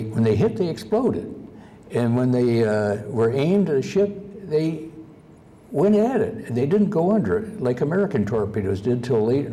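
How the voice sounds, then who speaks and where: A soft-spoken man giving a slide-show history lecture at a convention, halting often with uhs. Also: medium pitch, a little hiss or hum. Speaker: guest lecturer.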